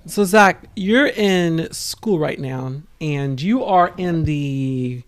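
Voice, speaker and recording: A woman with a low-pitched voice chats casually as a podcast host. Her voice is raspy and worn out. She rambles and pauses often as she talks. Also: expressive. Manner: rambling, halting, with frequent pauses. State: tired voice.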